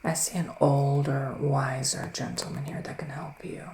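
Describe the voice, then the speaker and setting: A man playing a gentle psychic witch in an ASMR roleplay, speaking in a soft, musing whisper with long pauses. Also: medium pitch, little pitch movement, creaky, reverberant, a little hiss or hum, close to an everyday voice. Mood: hushed, musing. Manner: slow, hushed, musing, with drawn-out pauses. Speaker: ASMR performer giving a psychic reading.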